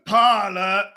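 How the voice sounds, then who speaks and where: A man's voice: high-pitched, very noisy, heavily acted. A man playing a seedy, brazen, self-justifying scavenger in a radio play, coarse and wheedling. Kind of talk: theatrical radio play acting.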